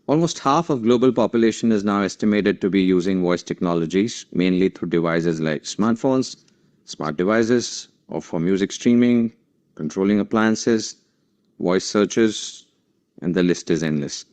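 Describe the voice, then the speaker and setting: A man giving a formal, measured webinar presentation as session moderator. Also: low voice, a little mellow.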